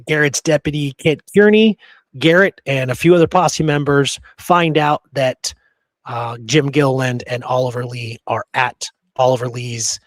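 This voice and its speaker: A man's voice: medium pitch, no echo. Male history podcaster, mid-range voice, informal storyteller, slangy phrasing, very dry recording. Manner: informal, slangy, says dude and you know. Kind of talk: casual conversational history storytelling.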